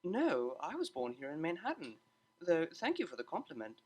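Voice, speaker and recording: A man's voice: fairly high pitch, wildly swooping pitch, normal speed, slightly rough, full character voice. Man voicing a prim, refined young mare. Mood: mildly affronted. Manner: formal, precise, proper. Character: polite, refined young female pony cellist.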